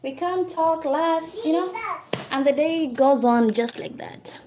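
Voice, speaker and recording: A woman's voice: sits high, takes it slowly, background noise. A woman with a Kenyan accent hosting a casual livestream, chatting and answering viewers' comments. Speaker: livestream host.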